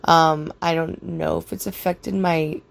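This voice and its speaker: A young woman with a raspy voice, casually rambling through a personal story with lots of fillers and swearing. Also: deep voice, steady tone, a little slow.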